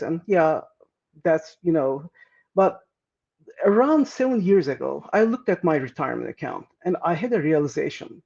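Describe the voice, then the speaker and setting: A man's voice: high-pitched, a little mellow, highs cut off. A man, answering interview questions with many fillers and restarts. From Turkey. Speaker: guest.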